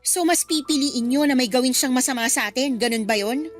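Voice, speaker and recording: Defiant, outspoken Filipino daughter played by a woman, radio drama, extremely high pitch, bitter and confrontational. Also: brisk, soft background music, close to an everyday voice. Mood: desperate, angry. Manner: confrontational, sarcastic.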